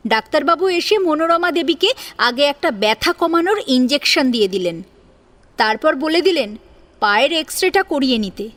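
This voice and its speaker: High-pitched woman narrating a story, animated. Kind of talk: dramatic scripted story narration.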